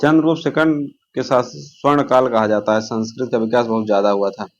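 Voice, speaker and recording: Male exam coach, mid-pitched voice, informal revision delivery that repeats key terms and answers its own questions. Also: steady tone, brisk, muffled recording. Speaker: exam-prep teacher.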